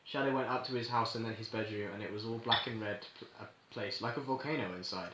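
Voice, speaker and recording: A man's voice: fairly low pitch, fast talker. A young man reading a story aloud to friends in an amused, informal way. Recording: noisy, echoey room recording with clicks.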